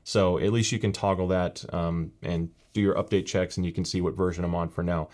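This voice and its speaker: A man giving a casual, explanatory walkthrough of a headset's software, with frequent fillers. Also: deep voice, little pitch movement, brisk. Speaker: tech reviewer. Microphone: gaming headset mic in later part.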